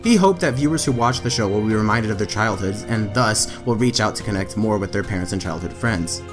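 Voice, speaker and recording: A man's voice: fairly low pitch, animated, fairly quick. A man reading anime trivia as a scripted voice-over, with emphatic lifts in his delivery. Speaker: anime trivia video narrator. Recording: faint background music under voice-over.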